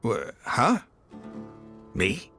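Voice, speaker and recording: An actor playing a devout, proud and touchy desert zealot leader in a video game, with a forceful, gravelly delivery. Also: male voice, medium pitch, huge pitch swings, faint music behind, heavily acted. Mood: irritated. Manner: fervent, slow, dramatic. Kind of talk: video game character acting.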